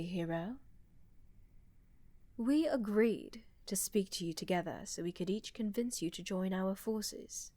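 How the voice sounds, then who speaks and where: A woman's voice: mid-range voice, a little mellow, no echo, lightly acted. A woman playing a blunt, pragmatic empress with a dry, sardonic, haughty manner in a fantasy audio roleplay.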